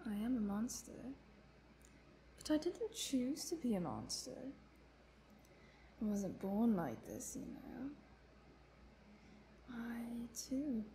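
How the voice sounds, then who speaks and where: A woman's voice: on the high side, dark tone, slight background noise. A woman playing a chatty, playful vampire prisoner who teases and tells her woes theatrically in a roleplay monologue. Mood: wistful. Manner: playful, talkative, teasing, theatrical. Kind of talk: dramatic roleplay monologue addressed to the listener.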